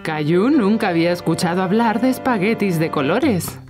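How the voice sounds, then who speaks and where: A woman's voice: mid-range voice, lively intonation, moderate pace, over music, lightly acted. A woman narrating a children's cartoon as a kindly storyteller, reading scripted lines. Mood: calm storytelling. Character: kindly storyteller narrating a little boy's day.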